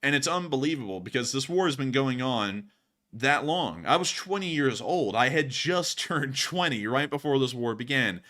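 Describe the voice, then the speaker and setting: Bright-voiced young man hosting news. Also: mid-range voice, lively intonation, moderate pace. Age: early 20s. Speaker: news channel host. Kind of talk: live news commentary, casual chat with viewers.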